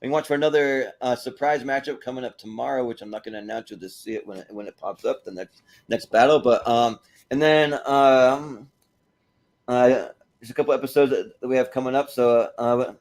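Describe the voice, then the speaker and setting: A man hosting a movie panel show, chatting casually in a halting way and repeating words as they go. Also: medium pitch, darkish tone. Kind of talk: casual panel chat and sign-off plugs.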